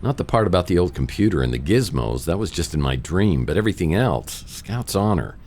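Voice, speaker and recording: An American actor playing a wry, world-weary private detective in a noir radio drama, talking and narrating with dry, sardonic delivery. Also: male voice, low-pitched, big pitch swings, brisk, a little mellow, slight background noise. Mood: dry, teasing.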